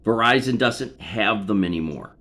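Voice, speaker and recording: A man's voice: on the low side, lively intonation, moderate pace, pushed and bright. A middle-aged man in a personal phone conversation, insistent and argumentative. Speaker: son on a phone call with his mother.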